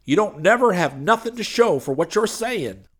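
Guy doing a shifty folksy preacher hustler for an audiobook, high and breathless, pushy as anything. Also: expressive, normal speed. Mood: growling, scornful. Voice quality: hoarse, breathless. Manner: folksy, ungrammatical, impassioned. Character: pushy, wheedling Southern con-man preacher, male.

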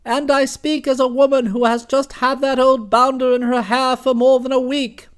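A man voicing a booming, imperious older aunt who blusters and scolds. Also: level tone, normal speed, clean tone. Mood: blustering.

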